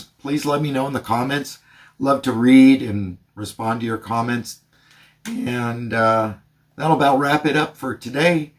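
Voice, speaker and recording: A man doing radio test calls and product review narration in a plain, measured way. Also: medium pitch. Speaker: host of a radio review channel.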